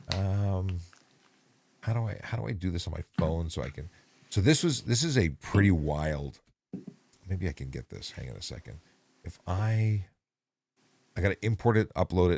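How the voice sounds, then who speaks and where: Very deep, gravelly man hosting a livestream. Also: darkish tone, no room sound. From Ontario. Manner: halting, with many restarts. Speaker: host of a police traffic Q&A livestream. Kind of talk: casual livestream chat.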